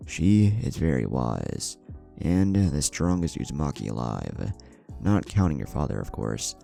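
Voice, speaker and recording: A man voicing a gruff but kindly, dutiful ninja guard in a story, talking in an earnest, conversational way. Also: extremely low pitch, very animated, measured pace, mellow, faint music behind, pitched below the performer's own voice, exaggerated voice. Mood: earnest, informative.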